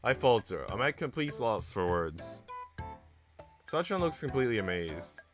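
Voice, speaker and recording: A man's voice: medium pitch, very animated, moderate pace. A man streaming, reading a visual novel aloud and chatting casually. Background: music.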